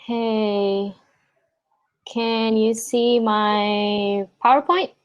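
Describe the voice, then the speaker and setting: A woman's voice: a little high, fairly even intonation, very slow, smooth, dull audio. A woman from Puerto Rico, a native Spanish speaker with a Puerto Rican accent, hosting an informal online presentation in a chatty way. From San Juan, Puerto Rico. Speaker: host, product designer reviewing a portfolio. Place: remote video call.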